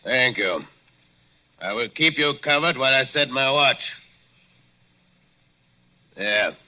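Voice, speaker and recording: A courteous but sinister armed guard in an old radio drama. He speaks very slowly with a sharp, bright tone. The broadcast is dull and lo-fi. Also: medium pitch, expressive, full character voice. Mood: menacing. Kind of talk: 1940s radio acting.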